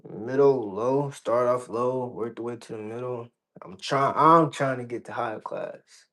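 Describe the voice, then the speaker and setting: A man of average pitch answers questions as a guest in a casual chat. He is from Georgia in the United States. His way of talking is blunt and heavily slangy, with frequent swearing. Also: a little slow, a bit bright. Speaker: interview guest.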